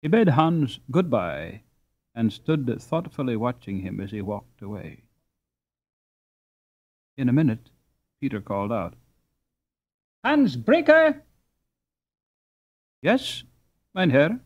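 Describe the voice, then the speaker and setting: A man reading a storybook aloud as an audiobook narrator, in an expressive, deliberate manner. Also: lowish voice, highs cut off.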